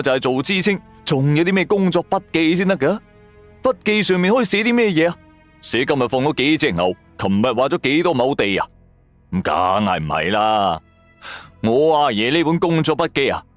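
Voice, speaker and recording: Animated male storyteller. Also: mid-range voice. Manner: colloquial, suspenseful, rhetorical questions. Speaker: audio drama narrator, storyteller. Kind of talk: dramatic scripted storytelling.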